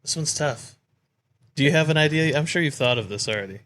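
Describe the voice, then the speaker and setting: A man's voice: a little high, expressive, fairly quick, a bit bright. A man co-hosting a podcast in casual, conversational chat. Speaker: podcast co-host.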